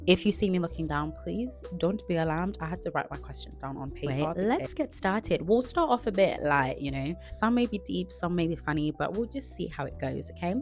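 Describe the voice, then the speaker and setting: A woman of twenty-five from Zimbabwe, chatting casually to camera as a vlogger, relaxed and chatty. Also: fairly low pitch, brisk, hardly any pauses, faint music behind. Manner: chatty and relaxed, with frequent "like" and restarts.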